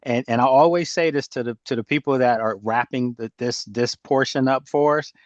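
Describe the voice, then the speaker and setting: A man in his fifties hosts a relaxed group talk over a video call. He stumbles over and repeats his words, laughs easily, and keeps a steady middle pitch. The sound is dry and close. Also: normal speed, dry recording, lo-fi sound. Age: 50s. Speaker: host. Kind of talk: casual group chat.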